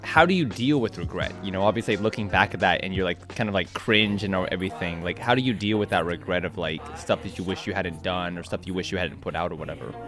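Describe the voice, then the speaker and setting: A man with a Californian accent hosting a casual interview, animated, laughing often and talking with lots of fillers. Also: deep voice, quick pace, hardly any pauses, music in the background. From United States. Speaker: podcast host.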